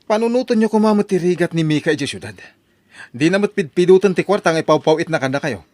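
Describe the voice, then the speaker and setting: Man playing a reproachful Ilocano-accented relative, expressive and lecturing. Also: a little high, fast talker, no room sound, natural voice. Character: stern middle-aged male relative scolding a mother.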